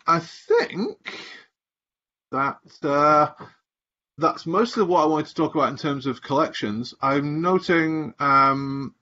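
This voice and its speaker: Husky man presenting over a video call. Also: on the high side, pushed and bright. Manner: explanatory, thinking aloud with many fillers. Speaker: community presenter. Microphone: video call webcam. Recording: dull lo-fi video call audio.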